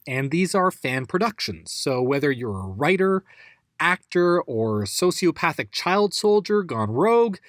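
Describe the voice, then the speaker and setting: A man's voice: medium pitch, dry recording, clean studio sound. A man hosting an audio drama outro, giving a friendly scripted announcement and reading credits.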